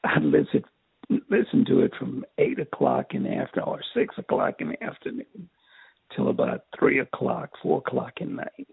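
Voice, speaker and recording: An older man from Texas with a raspy, strained voice, telling his life story in an interview in a halting way, repeating words. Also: mid-range voice, wildly swooping pitch, dry recording. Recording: tinny phone line.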